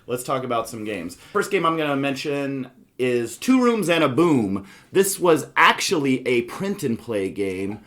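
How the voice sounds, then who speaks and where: A man's voice: mid-range voice, sing-song, a little slow, fairly bright tone. A man hosting a casual podcast about board games, explaining things in a rambling way and circling back over his points. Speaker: podcast host.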